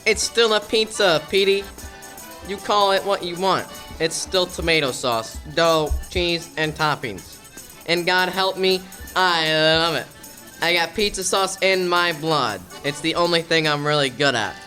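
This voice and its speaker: An actor playing a stressed, exasperated, melodramatic mob boss in a comedic audio drama. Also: male voice, fairly high pitch, unhurried, sharp tone, faint music behind. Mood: irritated, defensive. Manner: exasperated, melodramatic mobster talk. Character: stressed, blustering male mob boss secretly running a pizzeria.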